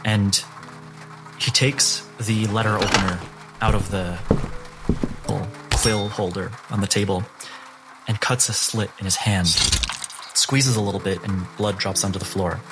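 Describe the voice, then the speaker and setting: A man narrating a tabletop game as game master, slow and descriptive. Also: on the low side, fairly even intonation. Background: music.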